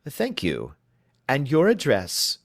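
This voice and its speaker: A man playing a self-assured Victorian detective who speaks crisply and with authority. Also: medium pitch, huge pitch swings, slow pace, no echo, clean studio sound, voice pushed up, exaggerated voice. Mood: authoritative.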